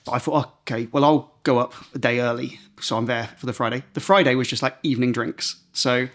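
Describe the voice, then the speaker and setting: A man's voice: medium pitch, fairly even intonation, brisk, a bit bright. A man co-hosting a podcast in casual political chat, telling stories and acting out imagined quotes. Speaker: podcast co-host.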